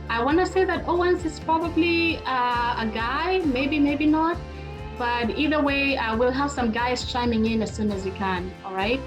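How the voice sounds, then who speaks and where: A middle-aged woman hosting a webinar in an informal, conversational way, speaking straight to her listeners. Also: fairly high pitch. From Kenya. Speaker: webinar host and presenter, marriage therapist. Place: online video call.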